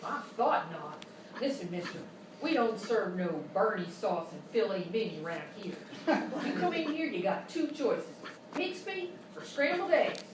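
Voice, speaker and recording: A woman's voice: mid-range voice, very animated, unhurried. Woman playing a brash, very echoey saloon keeper. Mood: curt. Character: brash, no-nonsense female Old West saloon keeper. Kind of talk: live radio Western parody. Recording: very echoey live stage, distant, noisy.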